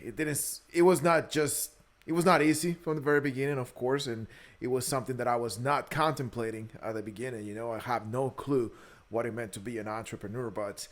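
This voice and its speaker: A man in his early thirties from Guatemala, a native Spanish speaker with a Guatemalan accent, telling his story candidly as an interview guest, often repeating words as he goes. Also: medium pitch. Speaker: podcast guest, entrepreneur. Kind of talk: conversational interview, personal storytelling.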